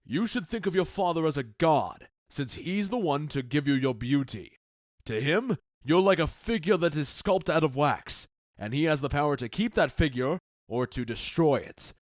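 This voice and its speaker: Man playing a stately, higher-voiced commanding duke. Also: lively intonation, no echo, studio quality, natural voice. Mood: stern, advising. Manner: stately, deliberate, authoritative. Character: commanding, measured Duke of Athens. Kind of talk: modernized Shakespeare audio drama acting.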